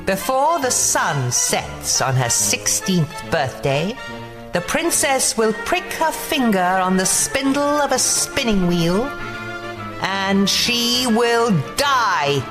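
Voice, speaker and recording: A woman voicing a wicked female fairy in a storybook reading, slow and threatening, with music behind. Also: fairly high pitch, big pitch swings, voice pushed up. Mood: cold menace.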